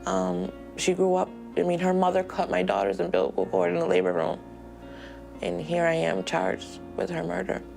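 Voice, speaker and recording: A woman in her forties tells her story in a documentary interview with a gravelly, fry-laden voice. She hesitates often and sounds emotional. Soft music sits under her voice. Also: fairly low pitch, flat delivery. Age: 40s. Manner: emotional, halting with ums. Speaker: jail inmate awaiting trial.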